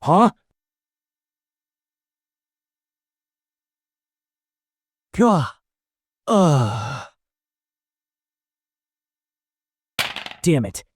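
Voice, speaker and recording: Man voicing an anxious, expressive teenage schoolboy loner. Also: a little high, very slow, slightly rough, dry recording, clean studio sound, heavily acted. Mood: shaken, frustrated. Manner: hesitant, musing to himself. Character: anxious, awkward teenage schoolboy loner, earnest and easily flustered. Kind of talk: anime-style dubbed story acting with inner monologue.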